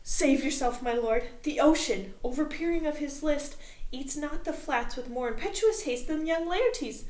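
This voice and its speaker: A woman voicing a frantic male messenger who rushes his warning loudly and urgently. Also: sits high, sing-song, vocal fry, roomy sound, slight background noise, exaggerated voice, low-quality recording. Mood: alarmed. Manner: urgent, loud, hurried.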